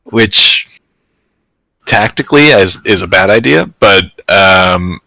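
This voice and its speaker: A man's voice: low voice, animated, slow, gravelly, sharp tone, no echo, poor audio. A man co-hosting a soccer podcast, talking casually, hesitant, with many fillers. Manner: hesitant, trails off with fillers and laughs. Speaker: podcast co-host. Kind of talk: casual sports chat.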